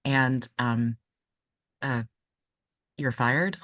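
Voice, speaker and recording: A woman's voice: a bass voice, fairly even intonation, extremely slow, fairly bright tone, no echo, studio quality, heavily acted. Player as a stern, authoritative woman doctor. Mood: cool, dismissive. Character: stern, authoritative female doctor, bluffing and dismissive.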